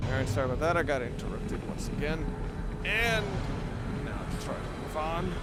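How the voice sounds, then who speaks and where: A man's voice: fairly high pitch, lively intonation, normal speed, loud music behind the voice. A man giving casual, hesitant, stop-start commentary while playing a video game. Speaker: let's play commentator. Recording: clearly noisy home recording.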